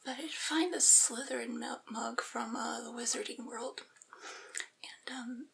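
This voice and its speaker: A woman playing a patient, fussing vampire's familiar in a whispered ASMR roleplay, with a breathy, coaxing, deferential delivery. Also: fairly high pitch, steady tone, measured pace, a bit bright, exaggerated voice. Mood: gently coaxing. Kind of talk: whispered ASMR roleplay, soothing personal attention.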